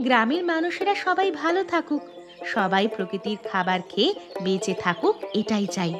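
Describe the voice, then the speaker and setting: A generous young village housewife from a cartoon fable , played by a woman. Her voice is fairly high, her manner modest and earnest, and music plays clearly behind her. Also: animated, normal speed, lightly acted. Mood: kindly, earnest. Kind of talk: Bengali moral-story cartoon dialogue. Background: background music.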